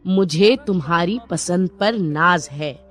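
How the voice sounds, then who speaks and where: A woman playing a middle-aged, sharp-tongued Indian mother-in-law with theatrical delivery in a cartoon story. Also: fairly low pitch, slow. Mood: remorseful, tender. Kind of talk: Hindi animated moral story dialogue. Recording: music bed behind the voice.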